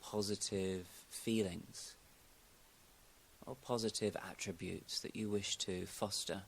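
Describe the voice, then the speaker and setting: A man with a deep voice and a marked creak leads a guided meditation. He gives gentle, calm instructions in the manner of a yoga teacher. His phrases are unhurried, with long pauses left between them. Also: a little hiss or hum, poor audio.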